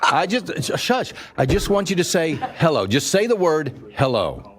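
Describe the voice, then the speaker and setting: A man's voice: a little high, big pitch swings, moderate pace, noisy background. An American man hosting a live radio show, talking to an audience in an effusive, rambling way.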